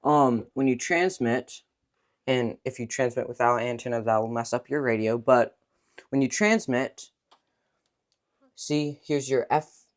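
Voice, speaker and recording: A man's voice: mid-range voice, steady tone, unhurried, long pauses. A young man, a ham radio operator, explaining radio features step by step in a room at home. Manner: explains step by step with small restarts. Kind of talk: casual technical explanation.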